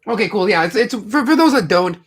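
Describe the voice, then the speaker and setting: A man's voice: high voice, lively intonation, fairly quick, slightly rough. Chatty young man with a raised voice. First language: English. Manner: rambling, chatty, many fillers and restarts. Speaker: live stream host. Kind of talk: casual live commentary chat.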